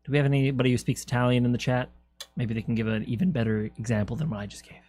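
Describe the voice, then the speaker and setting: A man's voice: mid-range voice, steady tone, rapid-fire, a little mellow, a little hiss or hum. A man from Canada streaming a game, chatting casually, joking and laughing often. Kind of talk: casual gameplay chat.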